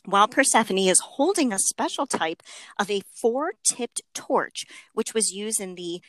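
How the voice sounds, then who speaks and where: A playful woman co-hosting a casual podcast, reading highlighted passages and whooping now and then. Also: animated, measured pace, fairly bright tone, no room sound. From Italian-American family. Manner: playful, whoops, reads from a book. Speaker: podcast co-host. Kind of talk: casual chat.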